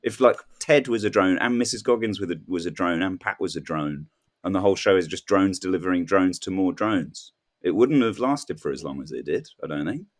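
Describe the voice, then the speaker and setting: A man with a fairly low voice chats casually as a comedy podcast guest. He rambles through whimsical anecdotes, slipping into little acted-out quotes as he goes. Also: fairly quick. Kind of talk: casual comic chat.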